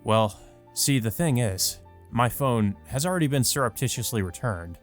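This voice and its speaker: A man's voice: medium pitch, lively intonation, moderate pace, natural voice. A man voicing an earnest, apologetic teenage brother, the protagonist of a visual novel. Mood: mildly defensive. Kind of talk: visual novel character read-aloud. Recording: music behind the voice. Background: music.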